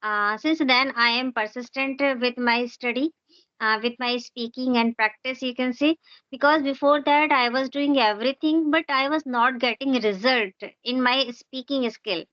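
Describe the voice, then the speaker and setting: A woman's voice: sits high, normal speed, dry recording, lo-fi sound. A woman with an Indian accent, an English teacher, answering questions earnestly with frequent hesitations over a video call. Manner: hesitant, many fillers, earnest. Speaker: English teacher and channel host. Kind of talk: conversational practice interview.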